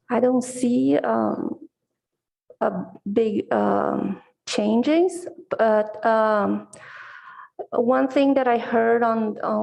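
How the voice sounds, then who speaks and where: Woman, Brazilian Portuguese accent, slow halting speech, heavy vocal fry, video call. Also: mid-range voice, darkish tone, poor audio. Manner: hesitant, many fillers and restarts. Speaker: commission applicant. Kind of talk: nervous interview answers. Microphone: video call mic.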